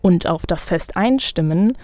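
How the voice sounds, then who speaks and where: A woman narrating a scripted radio feature as the reporter, in a calm, measured and clearly read delivery. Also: on the low side, dry recording.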